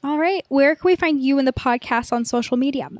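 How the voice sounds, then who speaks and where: Higher-voiced woman co-hosting a podcast. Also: a little fast, a little mellow, no room sound, studio quality. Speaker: podcast co-host. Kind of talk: casual podcast chat.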